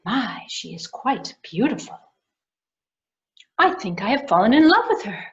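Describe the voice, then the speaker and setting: A woman voicing a gallant, dreamy young prince who speaks quickly and wonderingly. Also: mid-range voice, sing-song. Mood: smitten. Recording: dull lo-fi recording.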